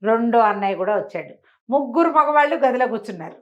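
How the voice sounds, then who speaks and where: A woman's voice: on the high side, lively intonation, fast talker, highs cut off. A woman telling a folk tale aloud in a conversational, oral storytelling style.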